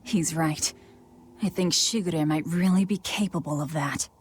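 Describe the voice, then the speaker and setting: A woman's voice: low voice, unhurried, slight background noise. A woman playing a cold, pragmatic, guarded young female fighter in dubbed game dialogue, terse and detached. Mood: cool, detached.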